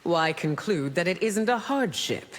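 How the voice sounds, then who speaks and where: A woman's voice: lowish voice, expressive, unhurried, in a large hall. A woman playing a proud, regal, long-lived empress in a video game, composed and haughty. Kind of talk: video game character acting.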